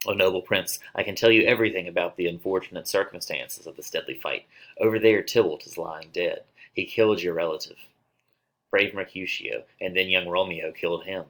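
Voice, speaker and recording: A man's voice: low voice, fairly even intonation, brisk, fairly bright tone, poor audio. A man playing an earnest, loyal young nobleman giving his lines in a declamatory play reading.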